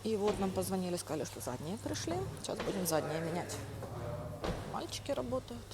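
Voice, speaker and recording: A woman's voice: mid-range voice, big pitch swings, a little fast, over a noisy crowd. A young woman talking casually to her viewers as a vlogger, telling everyday stories and acting out the dialogue she quotes. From Russian-speaking, living in Spain. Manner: chatty, storytelling, acts out quoted dialogue. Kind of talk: casual vlog narration.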